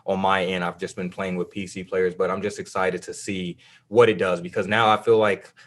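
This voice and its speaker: Low-voiced man rambling about games. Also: level tone, fairly quick. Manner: loose, rambling, many fillers and restarts. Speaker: podcast co-host. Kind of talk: casual gaming podcast chat.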